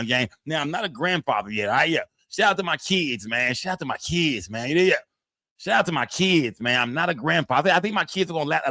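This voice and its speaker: A man in his forties talks quickly on a live stream, with a loose, profane, repetitive flow. His pitch sits in the middle of the male range. The voice is close and dry, with almost no room sound. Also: a bit bright, no room sound. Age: 40s. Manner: loose, profane, repeats phrases with tag words like "man" and "fam". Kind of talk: casual live-stream chat, reading the chat aloud.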